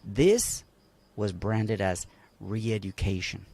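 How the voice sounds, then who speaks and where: A man's voice: on the low side, slow, a little mellow. A man from Colombia speaking emphatically on a livestream panel, asking rhetorical questions. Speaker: panel guest.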